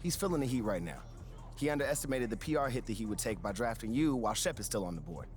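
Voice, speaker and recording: A man playing a fast-talking sports agent. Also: medium pitch, expressive, faint music behind, lightly acted. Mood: persuasive. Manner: persuasive, casual, quick.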